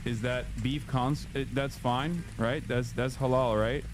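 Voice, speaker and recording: A man from Turkey hosts a relaxed stream and chats loosely with his guest. He restarts sentences and fills gaps with "like" and "uh." His voice sits in a mid range, with faint background noise. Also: mid-range voice, a little slow. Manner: rambling, many fillers and restarts, talks while ordering food. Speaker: streamer host. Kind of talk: casual livestream chat.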